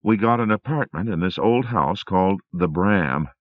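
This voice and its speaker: A man's voice: deep voice, expressive, moderate pace, no room sound, lo-fi sound, voice pushed up. A man voicing a breathless young woman. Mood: rambling. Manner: breathless, emotional. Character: frightened young woman waking from nightmare.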